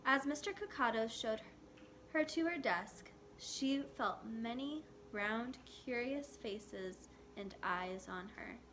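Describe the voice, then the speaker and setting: A woman reading a children's story aloud as expressive scripted narration. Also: a little high, slow, slight background noise. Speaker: storybook reader.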